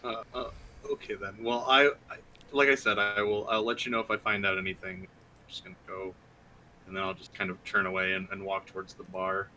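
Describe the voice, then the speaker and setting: A man playing a tabletop game, speaking in character with a halting, stammering delivery. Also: sits low, flat delivery, sharp tone, a little hiss or hum, low-quality recording. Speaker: player. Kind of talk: in-character role-play.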